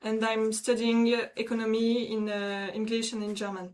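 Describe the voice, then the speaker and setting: A nineteen-year-old French woman speaking English with a French accent, halting and searching for words as a guest volunteer. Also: a little high, monotone, a little mellow. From France.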